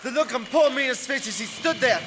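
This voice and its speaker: Man playing very high, shaken young husband. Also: animated, fairly quick, background noise. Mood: haunted. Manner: intense, dramatic. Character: shaken young husband narrating horror he witnessed. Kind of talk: radio drama narration.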